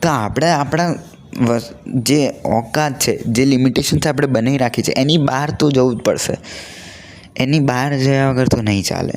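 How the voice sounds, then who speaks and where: A man's voice: medium pitch, darkish tone. Young man chatting earnestly. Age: young adult. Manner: conversational, earnest storytelling. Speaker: vlogger. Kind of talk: casual chat to camera, mixing in English words.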